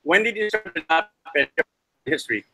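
Guy hosting a travel podcast on a video call, kinda high voice, says uh a lot. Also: animated, normal speed, bright, forceful tone, faint music behind. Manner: chatty, many uh fillers. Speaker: podcast host. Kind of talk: podcast interview. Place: hostel with weak Wi-Fi.

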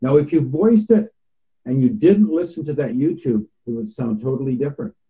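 A man's voice: medium pitch, moderate pace, mellow, poor audio. A man who is a voiceover artist, chatting casually as a podcast guest in a rambling way with restarts.

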